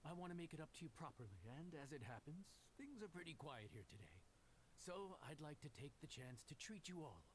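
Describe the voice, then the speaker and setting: A man's voice: a little high, very animated, a little fast, a little hiss or hum, poor audio. A man playing a modest, polite young inn chef in a video game, earnest and hospitable. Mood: grateful.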